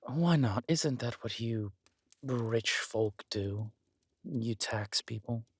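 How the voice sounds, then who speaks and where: A man's voice: mid-range voice, slow, husky, no echo. A man playing a scheming, flirtatious villain king in an intimate roleplay audio drama, with a languid, teasing, menacing delivery. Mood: wry, teasing.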